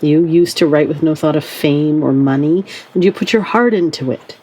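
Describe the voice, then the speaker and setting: A woman acting in an audio play as a gentle, encouraging relative and narrator, tender and measured. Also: deep voice, breathy edge, rough recording.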